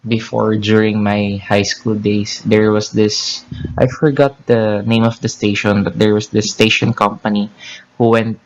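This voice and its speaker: A man's voice: lowish voice, completely flat delivery, unhurried, dull audio, slight background noise. Filipino man, rambling podcast talk. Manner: rambling with many restarts and fillers. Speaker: podcast co-host; teacher. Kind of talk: casual chat.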